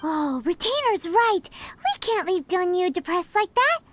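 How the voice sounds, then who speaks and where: A woman voicing a tiny, cheeky, childlike fairy companion in a video game, with a squeaky, bubbly, exclamatory delivery. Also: very animated, measured pace, slight background noise, heavily acted. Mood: indignant, curious. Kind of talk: video game character acting. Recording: music behind the voice. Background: soft game music.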